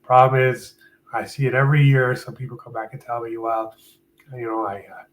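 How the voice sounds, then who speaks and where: A man with a medium-pitched voice, sniffly from a seasonal cold. He hosts a live legal Q&A, talking directly to viewers. His delivery is conversational and plain-spoken as he explains things. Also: flat delivery, brisk, rough recording. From Canada. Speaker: employment lawyer hosting a live Q&A.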